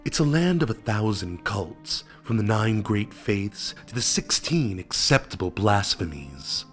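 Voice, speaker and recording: A man's voice: on the low side, very animated, fairly bright tone, soft background music. Stuffy-voiced man narrating lore. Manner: enthusiastic storytelling with dramatic pauses. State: stuffy from a cold. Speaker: video essay host.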